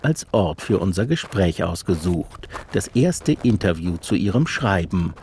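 A man narrating a radio feature, measured and literary, and interviewing an author. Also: low-pitched, very animated, darkish tone, a little hiss or hum. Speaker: radio reporter. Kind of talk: scripted narration.

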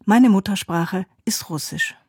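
Breathy woman narrating a radio feature. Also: mid-range voice, a little slow, darkish tone, soft background music. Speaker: radio presenter.

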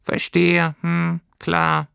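A man's voice: on the high side, monotone, drawn-out words, creaky, a bit bright, clear, resonant tone, no echo, studio quality, pitched above the performer's own voice, full character voice. A man voicing a small, furry storybook creature with a tiny, plaintive, childlike voice. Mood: sad. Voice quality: tiny little voice. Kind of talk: storybook character voice.

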